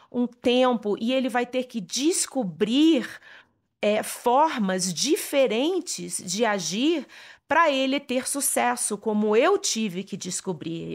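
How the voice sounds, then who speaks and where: A Brazilian woman with a Brazilian Portuguese accent, casually explaining astrology, often adding "né?", restarting words and slipping in English terms. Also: on the high side. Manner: frequent "né?" tags, restarts, mixes English words.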